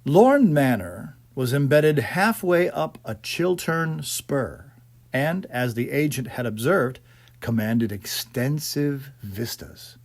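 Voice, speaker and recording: A man reading a ghost story as scripted dramatic narration, in a theatrical, measured storytelling manner. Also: mid-range voice, animated. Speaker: audiobook narrator.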